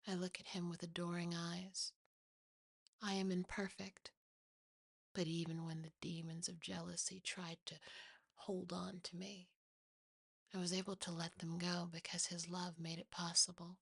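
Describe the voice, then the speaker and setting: Female ASMR horror narrator: lowish, creaky, unhurried, first-person storytelling. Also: flat delivery, a bit bright, no room sound, clean studio sound. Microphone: close, dry mic.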